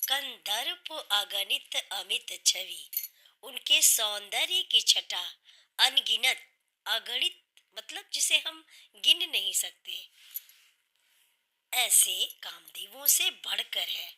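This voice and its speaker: A woman with a sore, hoarse throat, earnestly explaining a devotional hymn line by line and patiently restating each meaning. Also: on the high side, expressive, slow, long pauses, sharp tone. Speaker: devotional singer and channel host.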